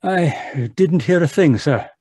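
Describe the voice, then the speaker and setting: Man acting a husky, high-voiced, bumbling gentleman doctor. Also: lively intonation, takes it slowly, no echo, studio quality, exaggerated voice. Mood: concerned, polite. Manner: bumbling, hesitant, proper. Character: genial, rambling, fussy gentleman doctor narrating his adventure. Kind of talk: 1940s radio mystery acting. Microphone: video call. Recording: live performance over video call.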